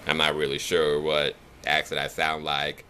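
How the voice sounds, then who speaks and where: A young man from the Chicago area with a Chicago accent, talking in a nasal, monotone way for a casual accent challenge video. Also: a bass voice, normal speed, slight background noise. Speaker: vlogger.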